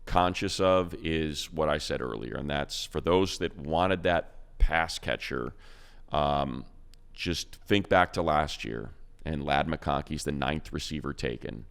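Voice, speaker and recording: Casting: deep, smooth male sports announcer, rambling and emphatic. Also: fairly bright tone. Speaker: radio play-by-play announcer and co-host. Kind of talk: animated football talk.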